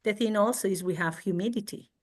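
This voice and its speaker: A middle-aged woman from the Canary Islands with a Spanish accent, a native Spanish speaker, explaining and demonstrating informally in expressive non-native English. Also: mid-range voice, fast. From Tenerife, Canary Islands, Spain. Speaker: guest craft and culture presenter.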